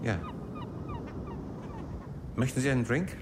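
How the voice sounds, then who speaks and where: An actor playing an evasive, courtly middle-aged newlywed husband in a radio drama, speaking formally and a little haltingly. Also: male voice, mid-range voice, background noise, close to an everyday voice. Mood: polite, uneasy. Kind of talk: radio drama dialogue and confessional narration.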